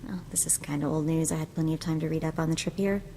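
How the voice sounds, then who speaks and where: An actress playing a chatty, cheerful young sidekick in a video game, talking in a casual, breezy way. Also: female voice, sits low, fairly even intonation, quick pace, mellow, a little hiss or hum. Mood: briefing, casual. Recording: background music under the voice.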